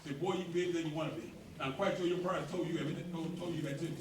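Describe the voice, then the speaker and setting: A man presiding over a neighborhood meeting, talking emphatically and working up to near shouts as he urges the room. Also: a little high, fairly even intonation, very fast, noisy background. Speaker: association president hosting a meeting. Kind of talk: animated speech to a community meeting. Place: community meeting hall. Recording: roomy hall, mic from a distance, faint ambience.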